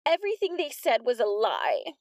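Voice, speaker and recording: A woman voicing a tattling teenage schoolgirl who speaks in a high, insistent way. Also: sing-song, moderate pace, darkish tone, no echo, studio quality. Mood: indignant.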